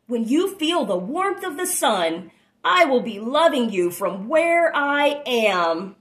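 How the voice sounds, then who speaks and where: A woman's voice: sits high, sing-song, slow pace, heavily acted. A woman voicing a loving, reassuring mother elephant in a children's storybook, in a tender, soothing manner. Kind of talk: expressive children's storybook read-aloud.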